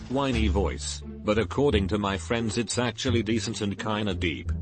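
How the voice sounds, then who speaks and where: A man reading out online forum posts as a scripted narrator, in an even, synthetic-sounding way. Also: fairly low pitch. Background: music.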